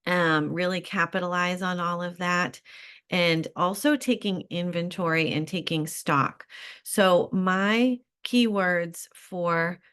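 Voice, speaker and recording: A woman with a slightly low-pitched voice talks through her advice alone, calm and reflective. She encourages the listener warmly and often pauses on small fillers as she gathers her thoughts. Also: clean tone. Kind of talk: solo advice talk.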